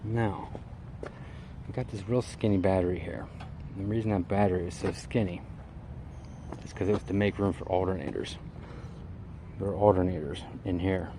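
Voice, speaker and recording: A man's voice: deep voice, fairly even intonation, normal speed, pauses often, noisy background. A man giving a casual, unscripted walkaround of his vehicle in a folksy, colloquial way with hobbyist slang. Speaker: vlogger showing his vehicle build.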